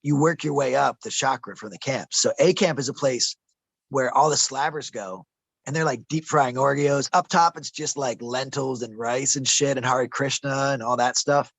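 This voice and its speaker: Gravelly man telling wild stories. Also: medium pitch, normal speed, a bit bright, no room sound. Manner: conspiratorial, says bro and man, trips over words. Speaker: interviewee, traveler telling his stories. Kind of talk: casual rambling storytelling. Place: outdoors in a desert camp.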